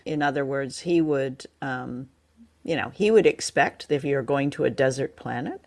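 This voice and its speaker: A woman's voice: a bass voice, moderate pace, a little mellow. A woman co-hosting a podcast, chatting casually and explaining things with hesitant phrasing. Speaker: podcast co-host. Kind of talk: casual review chat.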